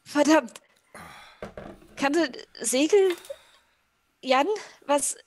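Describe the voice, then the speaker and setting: A woman playing in a tabletop roleplay session, speaking in character with halting, exclamatory phrasing. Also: high-pitched, slight background noise. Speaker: roleplay player. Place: room at home.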